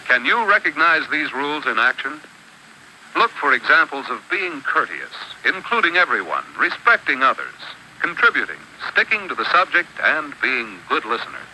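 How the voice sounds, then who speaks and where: A man narrates an old classroom film with a very bright, crisp tone. His pitch moves a lot as he sets out each rule in a measured, instructive way. A faint hiss sits under the old soundtrack. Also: wildly swooping pitch, poor audio. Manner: measured, instructive, lists rules one by one. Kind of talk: scripted educational narration.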